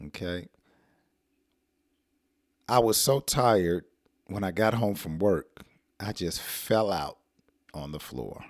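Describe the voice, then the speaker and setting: A middle-aged American man with a hoarse, rough throat, teaching an English lesson in a casual, playful way and acting out example sentences. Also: low voice, animated, takes it slowly, pauses often, no room sound, studio quality.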